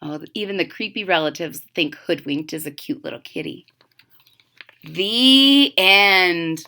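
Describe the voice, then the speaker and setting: A woman's voice: lowish voice, lively intonation, unhurried, bright, forceful tone. A woman reading a children's story aloud expressively as host, with chatty asides and questions to young listeners. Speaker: storybook reader and channel host.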